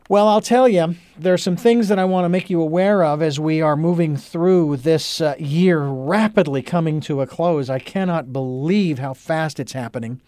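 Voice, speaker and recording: A man's voice: high voice, a little mellow, smooth, no room sound. Rambling radio host man, dry studio sound. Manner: rambling, many ums and uhs, earnest. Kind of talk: casual talk radio chat.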